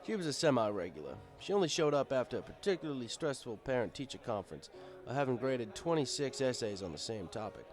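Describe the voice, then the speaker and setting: Lively male storybook narrator, wry. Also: medium pitch, fast, hardly any pauses, soft background music. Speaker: story reader. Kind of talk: first-person scripted narration, fan fiction audiobook.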